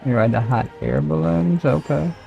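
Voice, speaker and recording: A man's voice: fairly high pitch, normal speed, gravelly, warm tone, soft background music. A man livestreaming a game with casual, laid-back, chatty commentary. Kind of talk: casual live gaming commentary.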